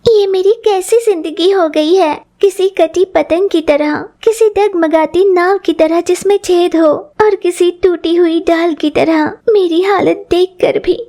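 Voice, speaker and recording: A woman's voice: very high-pitched, a little slow, hardly any pauses, dark tone, voice pushed up. A woman voicing a poor, desperate little female bird with a plaintive, pleading manner in a cartoon moral story. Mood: self-pitying.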